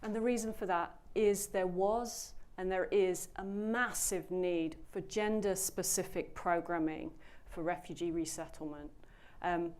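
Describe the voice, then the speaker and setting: A woman's voice: fairly low pitch, darkish tone. A woman introducing a panel to a live audience, speaking earnestly and emphatically with frequent fillers. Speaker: panel moderator, program founder. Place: event room with an audience.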